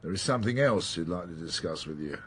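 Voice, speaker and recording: An actor playing a middle-aged senior male police detective in a radio drama, questioning in a polite, dry, measured way. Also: sits low, expressive, husky. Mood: measured, probing. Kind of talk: naturalistic radio drama acting.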